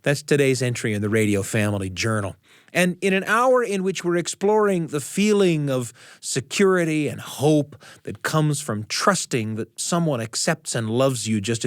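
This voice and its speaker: A man's voice: medium pitch, no room sound, clean studio sound. A man hosting a radio show, delivering a scripted introduction to stories.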